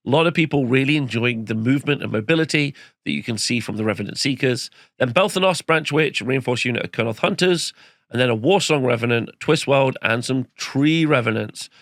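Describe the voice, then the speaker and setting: A man hosting a casual rundown of game results, his voice croaky as it comes back, rambling with fillers. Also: medium pitch, fairly quick, a bit bright, dry recording, studio quality. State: croaky, recovering lost voice. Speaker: host. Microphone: home studio microphone.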